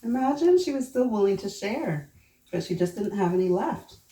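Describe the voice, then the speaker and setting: A woman reading a children's story aloud, pausing to prompt young listeners with questions. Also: mid-range voice, expressive, warm tone. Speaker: children's storybook reader and host. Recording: roomy echo, distant mic, faint noise.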